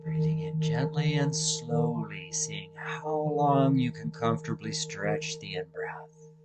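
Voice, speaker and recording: A man leading a guided meditation as instructor, speaking gently in short, measured phrases with long pauses between them. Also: mid-range voice, lively intonation, loud music behind the voice. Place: online video call session.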